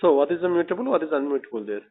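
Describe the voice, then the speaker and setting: A man's voice: on the high side, expressive, rapid-fire, husky. A man with an Indian accent conducting a technical job interview by telephone, pressing with probing, repeated questions. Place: phone call. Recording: tinny narrowband telephone line.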